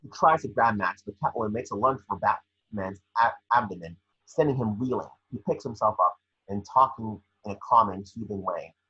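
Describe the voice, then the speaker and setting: Low, raspy man reading scene directions. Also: moderate pace, bright, forceful tone, lo-fi sound. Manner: stumbles over the script. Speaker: scene-description reader. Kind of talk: scripted narration of a film screenplay, amateur table read.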